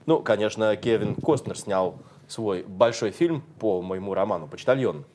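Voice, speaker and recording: A low-pitched man working as a consecutive interpreter, relaying each answer at a steady pace with brief hesitations. A faint noise runs under the recording. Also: normal speed. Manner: steady, hesitant while translating.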